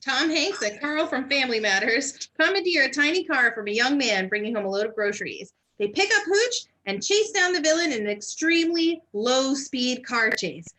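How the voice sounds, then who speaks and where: A woman's voice: high voice, lively intonation, moderate pace, hardly any pauses, bright, forceful tone, low-quality recording. A woman comedian narrating a scripted comic retelling of a story.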